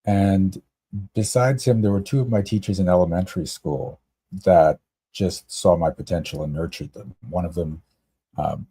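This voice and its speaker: A man's voice: deep voice, dark tone, breathy edge. A man from Canada telling personal stories casually as a podcast guest. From Canada, Toronto area. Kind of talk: casual chat, personal storytelling.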